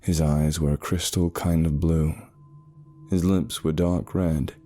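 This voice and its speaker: A man reading a first-person horror story as a scripted narration, in a measured, suspenseful manner. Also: a bass voice, flat delivery, warm tone. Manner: measured, suspenseful, short pauses. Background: eerie background music.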